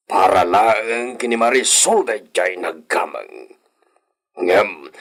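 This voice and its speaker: Low-voiced grave don, brooding, hushed, deliberate, radio play acted by a man. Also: heavily acted. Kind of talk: radio drama acting.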